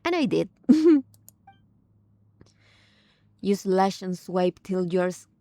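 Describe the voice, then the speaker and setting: A woman gives casual gameplay commentary at a slow, easy pace. Her voice is mellow and sits at a middle pitch. The recording is very dry, with hardly any room on it. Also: big pitch swings, no echo. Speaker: game streamer. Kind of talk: casual gameplay reactions and reading aloud.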